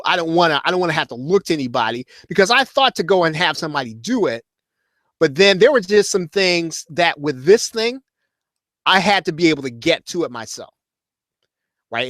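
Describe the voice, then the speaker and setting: A man's voice: fairly high pitch, fairly bright tone, no room sound. A man in his forties hosting an online show, talking casually to his viewers and rambling with many fillers and restarts. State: losing his voice. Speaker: host of an online marketing show. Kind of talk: casual live-stream talk to viewers.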